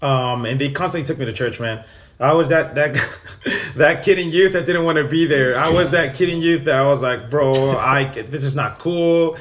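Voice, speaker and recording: A man's voice: on the high side, moderate pace, fairly bright tone. A young man from Texas sharing his faith story in casual, earnest talk, full of fillers and restarts. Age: young adult.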